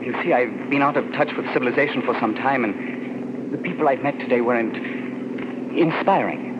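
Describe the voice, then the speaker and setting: An actor playing a gentle, doomed killer on the run, formal and hesitant, in the style of 1940s radio drama. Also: male voice, mid-range voice, moderate pace, lightly acted. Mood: reserved, brooding. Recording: noisy, muffled old-time radio recording.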